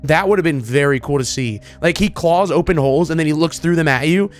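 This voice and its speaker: A man with a slightly high voice talks as a gaming video creator. His commentary is casual and excited, wandering loosely from thought to thought. Music plays faintly behind him. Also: fairly quick. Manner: informal, enthusiastic, rambling.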